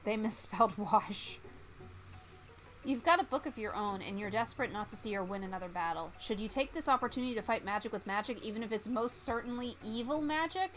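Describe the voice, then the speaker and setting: Girl streamer reading out a visual novel, highish voice, playful and dramatic, music in the back. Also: brisk, fairly bright tone. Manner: theatrical, playful. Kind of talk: animated game narration and reading aloud.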